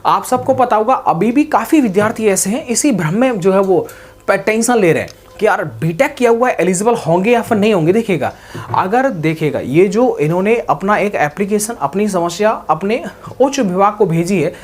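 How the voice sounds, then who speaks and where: High-pitched man explaining like an instructor. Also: animated, moderate pace. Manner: didactic, often checking that listeners follow; mixes English words into speech. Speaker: host of a job exam news channel. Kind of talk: explanatory talk, reading out and explaining a notice.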